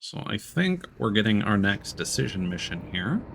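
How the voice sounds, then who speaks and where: A man's voice: fairly low pitch, very animated, moderate pace, creaky, fairly bright tone, a little hiss or hum. A man giving casual let's play commentary as a video game streamer.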